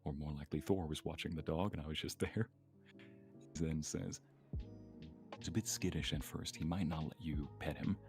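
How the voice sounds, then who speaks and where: Deep gravelly man chatting casually. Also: steady tone, moderate pace, long pauses, a little mellow, faint music behind. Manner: chuckles often, playful storytelling. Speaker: streamer co-hosting a live chat. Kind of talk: casual livestream chat.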